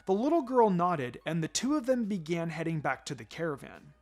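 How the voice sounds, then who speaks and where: A man narrates fiction in an expressive reading style. His intonation moves up and down in a lively way, and music plays behind him. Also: on the high side, fairly quick. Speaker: story narrator. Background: background music.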